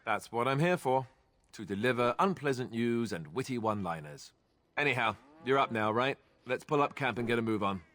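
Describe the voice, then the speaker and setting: An actor playing a friendly, witty, self-deprecating young warrior in fantasy game dialogue. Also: male voice, medium pitch, lively intonation, fairly quick, fairly bright tone, natural voice. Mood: wry, upbeat.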